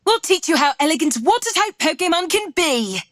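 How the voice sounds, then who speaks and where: A woman's voice: very high voice, very animated, fairly quick, a bit bright, heavily acted. A woman playing a poised, confident young gym leader in a video game, elegant and playful.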